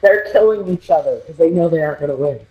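A man's voice: a little high, lively intonation, quick pace, lo-fi sound, faint music behind. A man gleefully exclaiming through casual gaming chat on a headset. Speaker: gamer.

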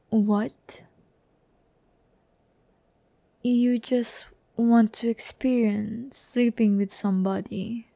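Slow, soft-toned teasing demon girl played by a woman. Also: a little high, no echo. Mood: exasperated, disdainful. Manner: breathy, unhurried, teasing, often dropping to a whisper. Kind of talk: intimate ASMR audio roleplay. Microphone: close-up microphone.

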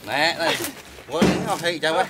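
A young man outdoors, loudly shouting work instructions while loading logs, heard from a camera some distance away. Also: sits high, big pitch swings, a little fast, gravelly. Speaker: log-loading worker. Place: outdoors on a rural dirt track. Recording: outdoor camera audio with noise.

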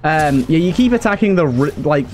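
A man's voice: on the high side, lively intonation, moderate pace, a little mellow, faint music behind. A man hosting a video game playthrough with casual, conversational commentary. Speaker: gaming host. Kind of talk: casual let's play commentary.

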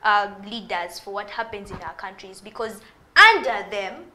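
Animated young Ugandan woman, bright voice. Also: mid-range voice, moderate pace. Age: young adult. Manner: animated, gestures while arguing. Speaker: studio guest, poet. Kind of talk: TV studio panel discussion. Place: TV studio. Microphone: lapel mic.

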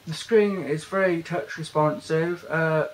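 A young British man casually walking through a phone's features in a bedroom, talking haltingly with many fillers and restarts. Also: on the high side, fairly even intonation, rough recording. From Britain. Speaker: tech reviewer. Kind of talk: casual hands-on product walkthrough. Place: indoors, bedroom.

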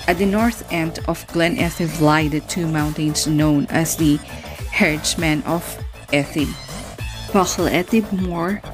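A woman reading a narration in an even tone, with small slips. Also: sits low. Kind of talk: read-out narration.

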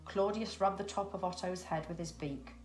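A woman's voice: on the low side, fairly even intonation, normal speed, soft background music. A middle-aged woman narrating a children's story expressively, recorded at home. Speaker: storybook reader. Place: small room at home, indoors. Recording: echoey domestic room.

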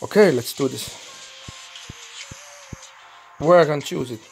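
A young man from Finland, speaking English with a Finnish accent as his second language, commenting excitedly and swearing while recording a mobile game. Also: fairly high pitch, fast talker, vocal fry, a little hiss or hum. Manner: swearing, excitable, thinking aloud, broken English. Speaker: gaming channel host.

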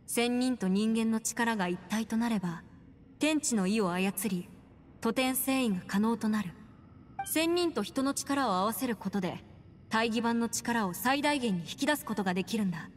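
A woman's voice: a little high, expressive, normal speed, slight background noise, natural voice. An actress playing a confident young woman scholar in an anime-style game, explaining things in an assured way. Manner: assured, explanatory, crisp phrasing. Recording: long reverb, music underneath.

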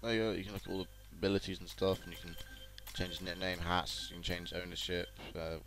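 A man with a low voice chats casually through a let's play. He comments on the game as its host, with prominent game music behind him. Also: flat delivery, quick pace, poor audio. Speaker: gaming video host. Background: video game music and sound.

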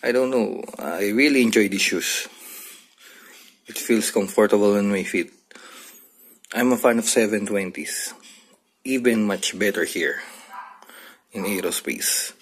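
Husky, slow-talking man. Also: lowish voice. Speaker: sneaker reviewer. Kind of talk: casual unboxing chat. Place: small bedroom, indoors.

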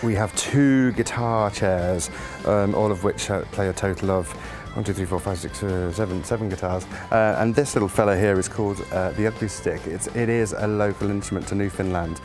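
Low-voiced man guiding a theatre tour. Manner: calm, explanatory, unhurried. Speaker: musical director. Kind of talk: guided tour to camera. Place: theatre stage set, indoors. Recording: music playing underneath.

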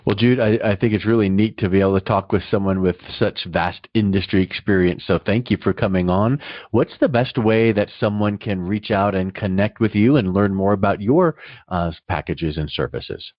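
Guy hosting a biz podcast, lowish voice, chatty, bit muffled. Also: normal speed, no echo. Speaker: podcast host, interviewer. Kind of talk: conversational business podcast interview.

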